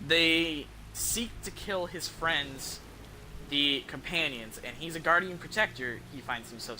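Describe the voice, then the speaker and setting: A man's voice: mid-range voice, noisy background. A young American man giving casual gameplay commentary as a streamer, rambling with slang and swearing over game music.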